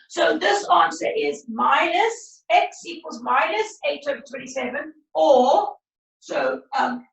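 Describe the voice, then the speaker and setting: A woman maths teacher, teaching a class over a video call in a brisk, encouraging way, her voice croaky. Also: high voice. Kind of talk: online class lesson. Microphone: laptop video call. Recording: roomy far-off video call audio.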